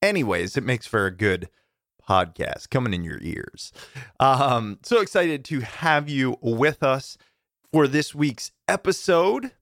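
A male podcast host giving a chatty, enthusiastic intro, his voice a little deeper and rougher than usual from campfire smoke. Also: lively intonation, measured pace, a bit bright, no echo, studio quality.

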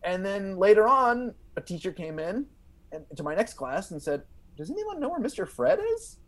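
A man telling comic stories as a guest comedian, in a halting way, repeating words and leaning on filler. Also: sits high, sing-song. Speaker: podcast guest, stand-up comedian. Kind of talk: animated comic storytelling.

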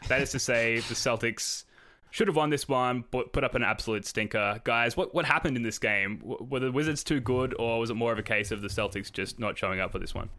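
Bright-voiced man hosting a podcast. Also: medium pitch, brisk. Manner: wry, jokey introductions. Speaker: podcast host.

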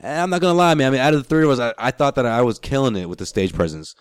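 A young man with a hoarse voice, co-hosting a casual sports podcast and bragging in hype, slangy talk. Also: medium pitch, very animated, racing, no echo, clean studio sound. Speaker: podcast co-host.

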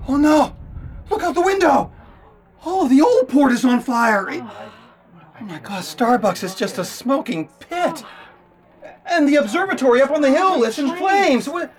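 A man plays a flustered radio announcer under siege in a horror radio play. His voice is high, fretful and full of stammers and hesitations. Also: expressive, measured pace, a crowd in the background, exaggerated voice. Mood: panicked. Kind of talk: horror radio drama acting.

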